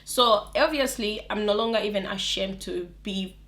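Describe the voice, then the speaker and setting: A woman's voice: mid-range voice, moderate pace, a bit bright. A young woman with an accent, chatting animatedly to her camera, laughing often and restarting her sentences. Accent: non-native English accent. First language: English counts as mother tongue, not from England or America. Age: young adult. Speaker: vlogger. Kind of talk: casual talk to camera.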